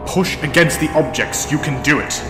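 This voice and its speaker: A male professor, played by an actor gives urgent, commanding orders in an audio drama. His voice sits fairly high and echoes, with loud music behind. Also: moderate pace, natural voice. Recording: echoing reverb under loud music.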